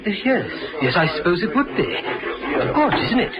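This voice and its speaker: An actor playing a fussy, rambling funeral parlour undertaker in a vintage radio drama. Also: male voice, high voice, very animated, normal speed, over a noisy crowd. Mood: puzzled. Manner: fussy, rambling, easily puzzled.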